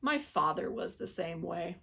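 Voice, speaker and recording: A woman voicing a small, brave male mouse dentist who gives firm, brisk orders while reading a storybook aloud to children. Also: on the low side, animated, vocal fry, bright, forceful tone, husky, full character voice.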